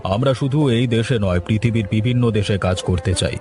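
A man's voice: fairly low pitch, normal speed, lightly acted. A man voicing a polite, encouraging company boss in a cartoon story, with a Bengali accent. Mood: benevolent. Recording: music behind the voice.

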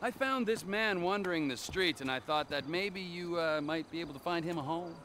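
A man's voice: a little high, a little fast, noisy background, close to an everyday voice. An actor playing a volatile, sardonic middle-aged male bounty hunter in a video game, with florid mock courtesy. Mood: mock-courteous. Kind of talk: video game cutscene acting.

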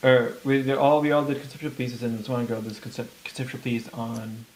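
Man, very quick delivery, casual, stumbling restarts. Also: medium pitch, little pitch movement, low-quality recording. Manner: rushed, restarts. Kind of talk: played clip of casual speech.

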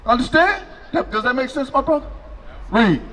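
A middle-aged man preaching loudly on a city sidewalk outdoors into a handheld mic, questioning people as they pass. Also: very high voice, animated, moderate pace. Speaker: street preacher.

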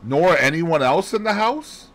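A man's voice: fairly high pitch, expressive, fairly bright tone, voice pushed up. Man voicing a fussy, lilting detective. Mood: methodical questioning. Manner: precise, deliberate, peppered with French phrases. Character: fussy, placid Belgian male detective, growing old. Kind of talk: audiobook character dialogue.

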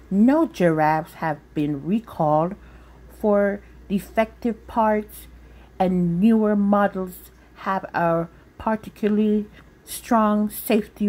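Earnest storybook little girl played by a woman, higher-pitched, formal and persuasive, unhurried. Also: a little mellow, low-quality recording. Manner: formal, presentational, inquisitive.

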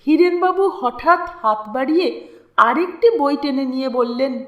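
A woman narrating a literary story in an expressive, deliberate, dramatic way with lingering pauses. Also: high voice, lo-fi sound. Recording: roomy, echoing sound.

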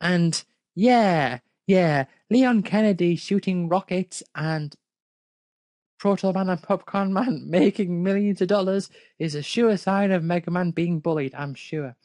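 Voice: mid-range voice, unhurried, a little mellow, dry recording. A person reading fan fiction aloud casually, with hesitant, dry critical asides. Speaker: fanfic reader and commentator.

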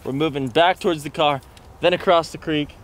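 A man's voice: on the high side, steady tone, fairly quick. A young American man chatting casually and with upbeat slang for a fishing vlog, outdoors by the water. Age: young adult. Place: outdoors by a pond and creek. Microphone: handheld camera at arm's length. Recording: clear outdoor ambient noise.

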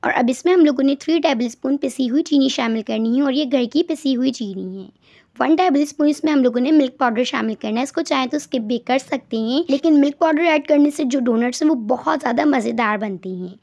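A woman's voice: high-pitched, a little fast, a little mellow. A woman giving step-by-step recipe instructions as a voice-over, often doubling words for emphasis. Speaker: cooking channel host.

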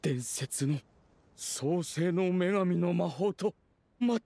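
アニメ調ゲームで、男性声優が演じる折り紙動物の年老いた長老。古風で丁寧な、おじいちゃんらしい優しい話し方。. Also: male voice, high voice, drawn-out words, gravelly, mellow, no echo, full character voice. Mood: awed. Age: older. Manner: courtly, old-fashioned, grandfatherly. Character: kindly old male origami animal village elder. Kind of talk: anime video game voice acting.

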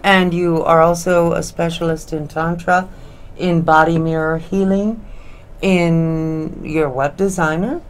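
Woman host, low voice, frank and chatty, small studio, faint hiss. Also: fairly even intonation, takes it slowly. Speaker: talk show host. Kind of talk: studio interview chat. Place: small studio with dark curtain.

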